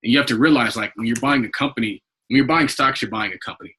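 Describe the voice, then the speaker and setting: An American man with a husky voice leading an informal investment club call, talking in a rambling, conversational way. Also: mid-range voice, fast talker, bright, forceful tone. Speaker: investment club leader hosting a conference call. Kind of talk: informal group call talk, rambling updates. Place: online group video call.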